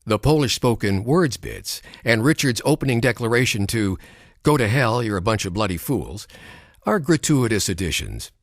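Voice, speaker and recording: A man reads a scripted documentary narration in a studio booth. His pitch rises and falls with a lot of movement, and his delivery is measured and expressive. The sound is close and dry, with no room echo. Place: recording studio. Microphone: studio microphone.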